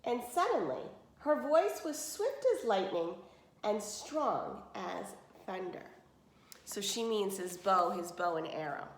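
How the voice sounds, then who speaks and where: A woman reading a picture book aloud expressively, pausing to explain things plainly to listeners. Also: mid-range voice, lively intonation, a little hiss or hum. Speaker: read-aloud storyteller. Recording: roomy, echoey indoor sound.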